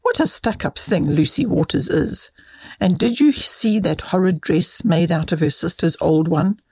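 A woman's voice: lowish voice, unhurried, darkish tone, breathy edge, no echo. Woman voicing a catty, gossiping little storybook lady. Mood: spiteful. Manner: sneering, gossipy.

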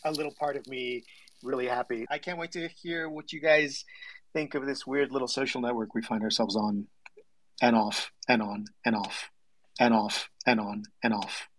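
A man's voice: mid-range voice, steady tone, normal speed, a bit bright, no room sound, poor audio. A man co-hosting a live audio chat, enthusiastic and playful in a casual way. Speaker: co-host.

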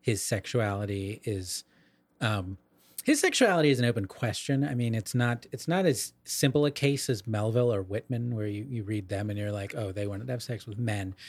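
A man's voice: on the low side, animated, moderate pace, a little mellow, dry recording. A man co-hosting a casual literary discussion, analytical and careful to qualify, using academic vocabulary. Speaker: podcast co-host.

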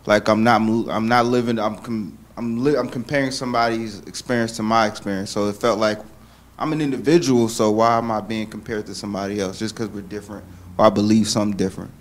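Slightly low male voice, halting, keeps restarting mid-word. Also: fairly even intonation. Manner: hesitant, cut-off restarts. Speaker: church group member.

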